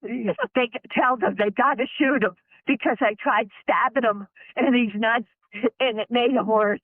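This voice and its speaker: A woman's voice: on the high side, fairly even intonation, moderate pace, no room sound. A woman making an emergency call, crying, panicked and pleading over and over. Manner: screaming, pleading, repeating. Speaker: emergency caller. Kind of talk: archival emergency call recording. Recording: tinny phone-line audio.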